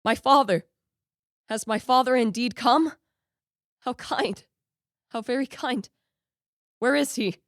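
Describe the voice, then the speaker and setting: A woman's voice: on the high side, slow, long pauses, no room sound, studio quality. A young woman playing a tormented, guilt-ridden young man in a radio play, with earnest, formal literary diction. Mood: anxious, eager. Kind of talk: student radio play acting.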